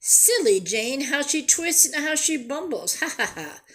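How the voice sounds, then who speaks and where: A woman's voice: high-pitched, big pitch swings, measured pace, fairly bright tone, heavily acted. A woman voicing mocking giraffes in a children's storybook, with sing-song taunting. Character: herd of mocking giraffes, teasing storybook animals.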